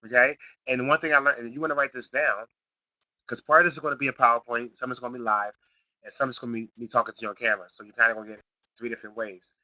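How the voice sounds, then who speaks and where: Middle-aged man, very bright tone, slightly raspy from a sniffle, dull lo-fi call audio. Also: mid-range voice, steady tone, rapid-fire, no echo. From Philadelphia, Pennsylvania. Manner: repetitive, restarts phrases, builds to emphatic points. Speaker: online marketing class host. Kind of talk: live teleseminar class, casual coaching.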